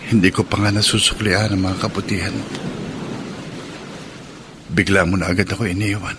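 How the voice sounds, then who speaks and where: A man's voice: low voice, flat delivery, normal speed, background noise, lightly acted. A man playing an earnest, lonely young man carrying grief in a Filipino radio drama, with a Filipino accent. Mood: grieving.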